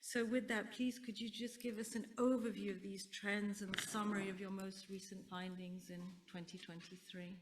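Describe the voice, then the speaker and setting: A woman's voice: medium pitch, little pitch movement, moderate pace, hardly any pauses, background noise. A woman moderating a conference panel, polite and a little apologetic as she hands over to a speaker. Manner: polite, slightly apologetic, with fillers.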